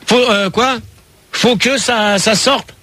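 A man's voice: high-pitched, slow pace, pushed and bright, dry recording. A man hosting a comic radio call-in, teasing callers and openly doubting them. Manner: teasing, incredulous, repeats himself. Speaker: radio host.

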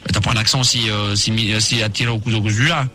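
A man hosting a radio phone-in, teasing and mocking the caller and cutting in quickly. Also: lowish voice, steady tone, bright, forceful tone, faint music behind. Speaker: radio host.

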